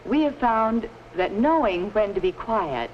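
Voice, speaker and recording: A woman acting as a patient, orderly schoolteacher of the 1950s explains things in a lively, carefully phrased way. It is scripted classroom-film speech, with a muffled vintage sound and faint hiss. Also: mid-range voice, natural voice. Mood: patient explaining. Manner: measured, didactic, carefully phrased.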